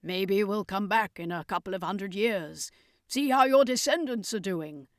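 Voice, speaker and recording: A woman's voice: medium pitch, measured pace, no echo, clean studio sound, lightly acted. Woman playing a pompous male circus master hero. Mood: earnest advice. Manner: pompous, proclaiming.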